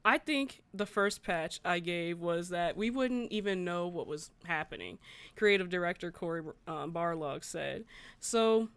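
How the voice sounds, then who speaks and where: A woman's voice: on the low side, unhurried, smooth, dry recording. A woman hosting a gaming livestream, chatting informally with viewers and reading news aloud in a conversational way. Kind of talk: casual livestream chat, reading articles aloud and reacting.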